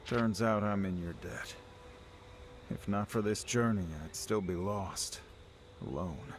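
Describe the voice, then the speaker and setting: A man acting as a calm, regretful wandering swordsman in a video game, speaking solemnly and with measure. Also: fairly low pitch, takes it slowly, slight background noise. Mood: solemn, resolute.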